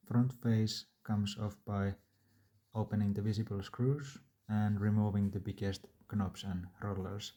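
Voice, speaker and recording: A man's voice: fairly low pitch, flat delivery, unhurried, dark tone. A man narrating a do-it-yourself repair tutorial in a plain, matter-of-fact, step-by-step way. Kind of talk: instructional DIY narration.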